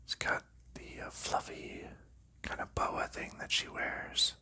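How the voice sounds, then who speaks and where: A man softly narrating an ASMR unboxing in a breathy whisper, explaining things gently and without hurry, close to the microphone. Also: slow. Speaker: ASMR host.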